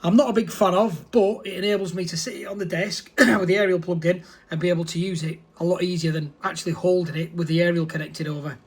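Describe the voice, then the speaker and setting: A man's voice: high voice, fairly even intonation, fast, few pauses, breathy edge. A man chats as a radio amateur. He is matter-of-fact and rambles a little, restarting and correcting himself as he explains. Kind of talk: casual radio chat.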